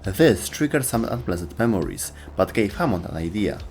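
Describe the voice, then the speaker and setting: A man's voice: on the low side, big pitch swings, normal speed, gravelly, a little mellow, slight background noise. A man narrating a scripted video game lore explainer as its host.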